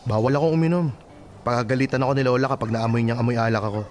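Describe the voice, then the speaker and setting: A man's voice: mid-range voice, fairly even intonation, racing, darkish tone, lightly acted. A man playing a straitlaced, obedient young man in a radio drama, defensive and judgmental. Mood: disapproving.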